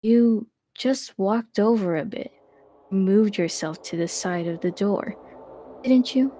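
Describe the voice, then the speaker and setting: A woman playing a slow, sly young woman. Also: mid-range voice, mellow, no echo. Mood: insinuating. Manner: slow, insinuating, teasing. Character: coy, probing young woman, calm and suspicious. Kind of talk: fan dub of a comic.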